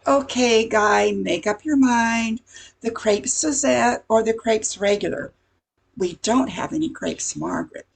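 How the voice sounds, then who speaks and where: A woman playing a blunt, impatient diner waitress in an amateur radio comedy, with a husky voice. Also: on the high side, very animated, unhurried, heavily acted. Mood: exasperated. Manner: blunt, sassy.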